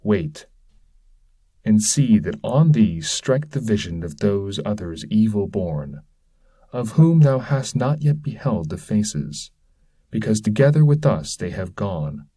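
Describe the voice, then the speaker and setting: A man's voice: fairly low pitch, very animated, slow, warm tone, breathy edge, exaggerated voice. A man voicing a wise, authoritative guide through hell, grave and instructive, in an epic poem.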